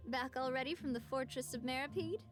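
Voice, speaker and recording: A woman's voice: high voice, a little fast, lightly acted. An actress playing a poised, gracious young woman who leads a gang in a video game. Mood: composed. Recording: game music under the voice.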